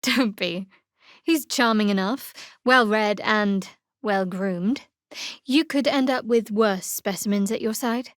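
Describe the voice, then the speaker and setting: A woman playing a guarded, wry young cleric of a dark goddess in a video game, speaking in a dry, measured, sardonic way. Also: mid-range voice, no echo, close to an everyday voice.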